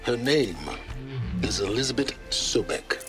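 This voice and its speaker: A man playing an enigmatic, calmly commanding informant in a video game, cryptic, measured and self-assured. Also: mid-range voice, music in the background, close to an everyday voice. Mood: knowing, assured. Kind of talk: video game cutscene acting.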